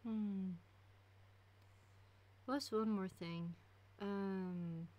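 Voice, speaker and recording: A woman hosting a casual livestream chat, chatty and relaxed, humming and laughing between questions. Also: medium pitch, extremely slow. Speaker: stream host.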